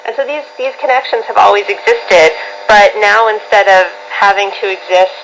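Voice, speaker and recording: A woman's voice: mid-range voice, fairly even intonation, normal speed, fairly bright tone. A woman giving earnest, articulate commentary as an interviewee. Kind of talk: unscripted political interview commentary. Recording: noisy, muffled shortwave radio broadcast.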